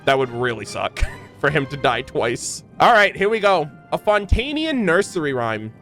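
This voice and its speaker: A man doing excited reaction commentary as a game streamer, reading aloud and commenting in an animated, exclamatory way. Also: a little high, normal speed, faint music behind. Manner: animated, exclamatory, swears freely. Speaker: streamer reacting to a video game's lore.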